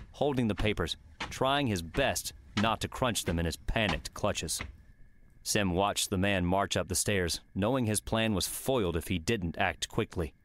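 A man narrating an adventure novel as a scripted audiobook reading. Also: on the low side. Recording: faint background noise and ambience.

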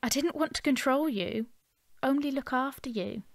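An actress playing a sensible, caring woman in a naturalistic audio drama, measured, reassuring and matter-of-fact. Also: high voice, dry recording. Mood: calm, caring. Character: Sam, calm, sensible, caring woman, quietly competent.

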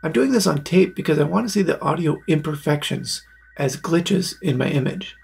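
Male tutorial presenter, forties, very husky and breathy with some creak, plain explanatory delivery. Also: fairly high pitch, normal speed. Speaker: video maker presenting a tutorial.

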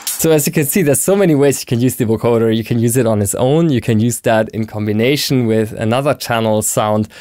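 A man in his thirties hosting a software tutorial, explaining conversationally in a small home studio on a close mic. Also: mid-range voice, brisk, no room sound, soft background music. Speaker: tutorial host.

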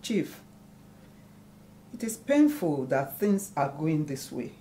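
A woman's voice: low-pitched, big pitch swings, takes it slowly, warm tone, full character voice. An actress playing a wounded, proud middle-aged mother in a film melodrama, speaking emphatically. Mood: pleading, respectful. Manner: emphatic, declamatory. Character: wounded, proud elder mother scolding her son. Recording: music under the dialogue.

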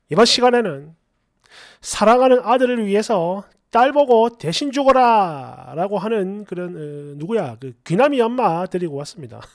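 A man's voice: extremely high pitch, no echo, clean studio sound. A middle-aged man hosting a story channel, reading a first-person story aloud in a colloquial, exasperated, dramatized way with asides.

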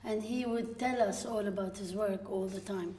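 A woman in her forties from Lebanon, a filmmaker guest at a live panel, telling stories in a lively way with quoted asides. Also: mid-range voice, normal speed. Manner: lively storytelling with frequent laughs and quoted asides. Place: gallery room with seated audience. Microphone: stand mic at a distance. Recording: echoey room from a stand mic.

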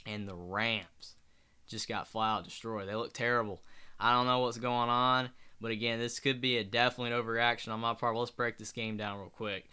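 Young male sports vlogger, bright crisp voice, earnest rambling delivery, tired, bedroom webcam. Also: medium pitch, little pitch movement, brisk. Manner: rambling, restarts mid-sentence, earnest. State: tired, late at night. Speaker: sports channel host. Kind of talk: casual solo sports commentary. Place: small bedroom, indoors. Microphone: webcam at desk.

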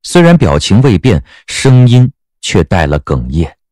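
A man narrating a scripted audio drama as an expressive storyteller. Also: lowish voice, measured pace, dry recording, clean studio sound.

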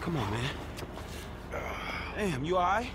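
A man's voice: a little high, sing-song, takes it slowly, very noisy. An actor playing a streetwise young American man in a video game, talking in casual slang. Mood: concerned. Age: young adult. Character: streetwise young man, loyal wary sidekick. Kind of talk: video game cutscene acting.

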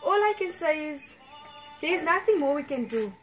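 A woman playing a grave puppet doctor with a measured, solemn manner in an amateur puppet show. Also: high voice, lively intonation, soft background music, low-quality recording. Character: puppet doctor, grave, gently breaking bad news.

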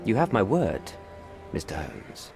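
Pompous, animated British envoy. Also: male voice, low-pitched, unhurried, vocal fry, music in the background, exaggerated voice. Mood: self-satisfied. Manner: pompous, self-satisfied. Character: self-important, cynical middle-aged male British envoy. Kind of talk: video game cutscene acting.

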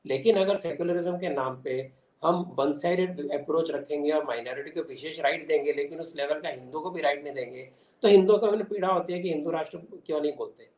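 A man's voice: on the high side, a little fast, darkish tone. An older man giving emphatic, explanatory answers in a political interview, mixing in English terms. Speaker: interview guest, commentator. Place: small indoor room at home. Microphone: video call device. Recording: echoey room on video call.

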